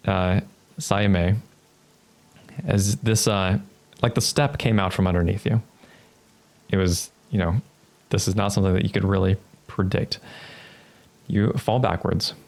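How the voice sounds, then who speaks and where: A man narrating a tabletop roleplaying game as game master, improvising scenes in a halting, thoughtful way. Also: deep voice, gravelly, darkish tone.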